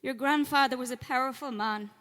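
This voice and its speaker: A woman reading out an eerie message onstage in a horror drama. Her voice sits fairly high and rings through a reverberant live venue. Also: a little fast, a bit bright. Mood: eerie, menacing. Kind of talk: live audio drama monologue. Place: cellar performance venue before an audience. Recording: echoey live cellar venue.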